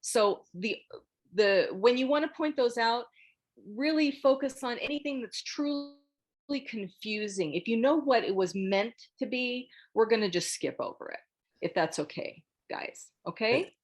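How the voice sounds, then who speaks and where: A woman's voice: on the high side, expressive, unhurried. A woman hosting a casual group discussion over a video call, politely directing the others. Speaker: host moderating a script table read.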